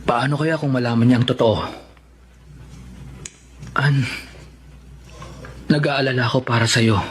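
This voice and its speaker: An actor playing a worried, protective and devoted friend, speaking earnestly and imploringly in a Tagalog radio drama. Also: male voice, medium pitch, fairly even intonation, measured pace, a little hiss or hum, natural voice. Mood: worried, pleading. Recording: music under the voice. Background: dramatic background music.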